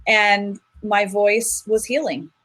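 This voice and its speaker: A woman's voice: mid-range voice, takes it slowly, a bit bright. A woman speaking as a guest in an interview, telling a personal story in an earnest, reflective way with frequent restarts. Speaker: guest, singer.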